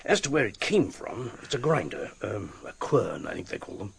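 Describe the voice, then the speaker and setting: A man playing a gruff, sceptical British police captain in a radio drama, brusque and matter-of-fact, with a British accent. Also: fairly low pitch, sing-song, normal speed, full character voice. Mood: grimly factual. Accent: British English.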